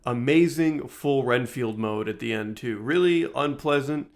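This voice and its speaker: A man's voice: medium pitch, a little slow. A man co-hosting a casual, joking conversation with a wry way of talking. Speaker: podcast co-host. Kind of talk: casual chat.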